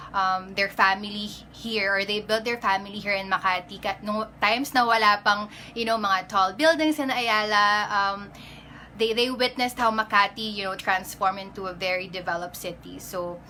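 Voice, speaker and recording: A woman's voice: medium pitch, bright, forceful tone, slight background noise, low-quality recording. A young woman in her twenties with a Filipino accent, chatting casually on a livestream, greeting viewers by name and slipping into Tagalog. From Philippines. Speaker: pageant candidate.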